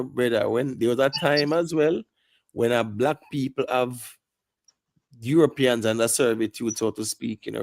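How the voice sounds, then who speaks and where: Jamaican male panelist, gravelly, explains at length, keeps checking the listener follows. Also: medium pitch, a little mellow, no room sound. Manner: lecturing, repeats "you get me" asides. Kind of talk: live panel discussion.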